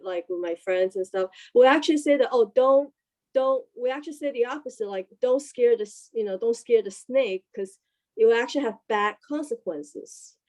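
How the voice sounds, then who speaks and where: A woman's voice: mid-range voice, dark tone, rough recording. A woman in a casual group discussion, asking a question with many fillers and restarts. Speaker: discussion participant.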